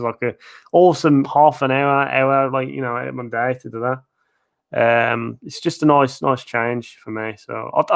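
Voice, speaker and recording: A man's voice: medium pitch, fairly bright tone. Man rambling through a live-stream Q&A. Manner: rambling, lots of "uh" and "like", quick chuckles. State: losing his voice. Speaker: theme park video creator. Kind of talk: live-stream Q&A, casual chat. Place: at home, in his living room.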